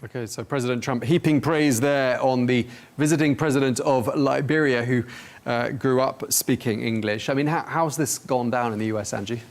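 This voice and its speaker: A man anchoring a news show, introducing stories and asking questions in a measured, conversational way. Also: medium pitch, steady tone. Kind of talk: interview questions and story intros.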